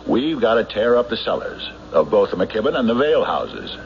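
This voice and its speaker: An actor playing a stubborn small-town county sheriff in a 1970s radio drama, speaking in an emphatic, insistent, deliberate way. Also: male voice, on the low side, big pitch swings, slight background noise. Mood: urgent reasoning. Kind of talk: 1970s radio drama acting, logbook narration and dialogue. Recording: dull 1970s radio recording, music behind.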